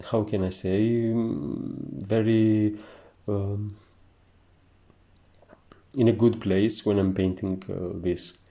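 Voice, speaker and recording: A man with a thick Greek accent talks through a painting lesson at an unhurried pace. His voice is low and mellow, and he hesitates often with soft fillers. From Greece. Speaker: painting teacher. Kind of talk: relaxed narrated painting tutorial.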